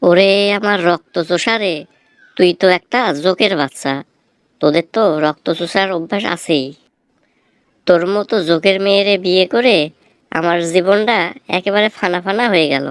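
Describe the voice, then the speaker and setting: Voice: medium pitch, moderate pace, smooth, dry recording, close to an everyday voice. An actor voicing a quarrelsome, indignant village husband in a comic cartoon, scolding theatrically in a rural Bangladeshi Bengali accent. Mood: exasperated, preaching. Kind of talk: comic cartoon dialogue, exaggerated bickering.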